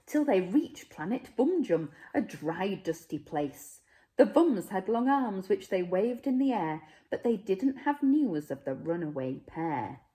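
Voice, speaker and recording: A woman reading a rhyming children's story as a teacher would, with measured, dramatic phrasing. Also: mid-range voice, warm tone. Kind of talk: expressive rhyming storybook narration.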